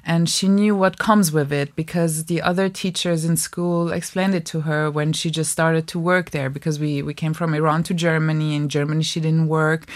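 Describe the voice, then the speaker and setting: A woman in her thirties whose first language is Farsi, chatting in an interview in a lively, impulsive way with many fillers and restarts. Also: deep voice, moderate pace, hardly any pauses. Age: 30s. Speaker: guest, bookshop co-owner. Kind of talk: casual interview chat.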